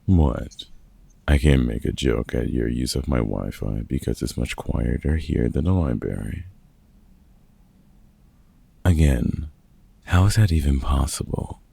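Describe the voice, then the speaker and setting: A man playing a gruff, wry older vampire hunter in an ASMR roleplay, a fatherly mentor with a very gravelly, husky voice and dry, teasing delivery. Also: very deep voice, unhurried, pauses often, mellow, no room sound, heavily acted. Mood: mock exasperated. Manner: dry, teasing, hesitant with unfamiliar slang. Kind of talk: ASMR roleplay audio drama, one-sided conversation.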